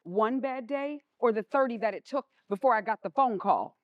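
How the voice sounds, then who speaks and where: A woman's voice: a little high, lively intonation, normal speed, no room sound, highs cut off, close to an everyday voice. Woman acting a stern, commanding mother. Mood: indignant, stern. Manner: commanding, pointed, formally clipped. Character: stern, proud mother of a troubled teenager. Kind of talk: stage play acting.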